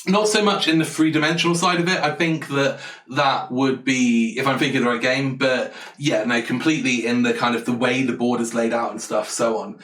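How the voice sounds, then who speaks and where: A man's voice: medium pitch, steady tone, fairly quick, a bit bright. A man thinking aloud on a live stream while designing a board game, eager and rambling as he answers chat. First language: English. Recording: roomy, distant from the mic.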